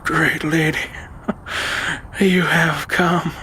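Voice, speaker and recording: Actor as a solemn old uncle, noisy track. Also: male voice, a little high, little pitch movement, extremely slow. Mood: reverent, resigned. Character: frail old uncle, devout, commanding, fond mentor. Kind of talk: audio drama acting.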